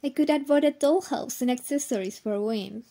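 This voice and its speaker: A woman playing a cheerful, caring young woman in an audio drama, with a soft, husky voice. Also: sits high, moderate pace. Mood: earnest. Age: young adult.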